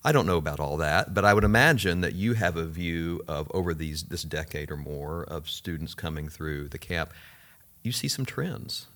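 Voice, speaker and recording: A man's voice: rumbling low, lively intonation, normal speed, fairly bright tone. A man hosting a conversational interview, thoughtful and affirming, prompting his guests. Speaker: podcast host.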